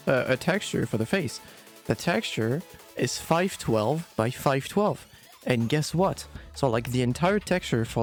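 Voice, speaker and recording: A man in a casual podcast chat, talking as a panelist in an exasperated, sweary way. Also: mid-range voice.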